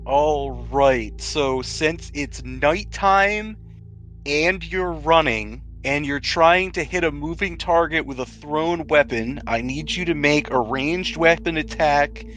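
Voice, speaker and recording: A man's voice: on the high side, slow, fairly bright tone, faint music behind. A man running a tabletop game as game master, narrating and bantering in a playful, teasing way.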